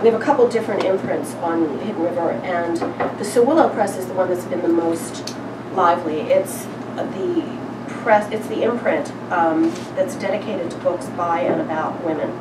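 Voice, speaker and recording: A lower-pitched woman addresses a live audience in the informal way of a small-press literary presenter. She is recorded from a distance in a roomy space, with clear noise on the recording. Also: lively intonation, normal speed. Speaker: publisher and editor presenting a reading. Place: live event room, away from the microphone.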